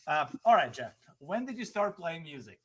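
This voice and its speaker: A man with a Serbian accent hosts a casual livestream interview. He is relaxed, laughs easily and answers with short agreeing words. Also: fairly high pitch, moderate pace. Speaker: show host.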